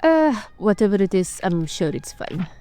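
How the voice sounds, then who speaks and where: An eccentric female vampire noble, played by a woman in a fantasy audio roleplay, with a mid-pitched voice. She drawls airily and theatrically, languid and doting, with music behind her. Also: sing-song, moderate pace, mellow, natural voice. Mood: doting, pleased.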